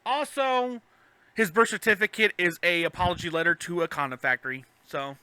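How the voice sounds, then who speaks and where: A man's voice: high-pitched, brisk, pushed and bright, clean tone, dry recording. A man hosting a podcast in casual banter, joking and teasing as he goes. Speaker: podcast host.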